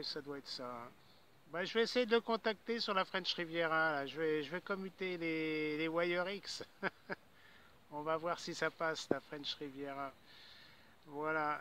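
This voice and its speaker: A man's voice: a little high, bright, forceful tone, a little hiss or hum. A man chatting casually as an amateur radio operator, rambling and repeating his words as he goes. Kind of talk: casual ham radio chat.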